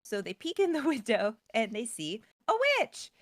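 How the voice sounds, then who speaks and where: A woman's voice: on the high side, sing-song, normal speed, dry recording, clean studio sound. A woman co-hosting as a storyteller, retelling a tale in a casual, playful style. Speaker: co-host and storyteller.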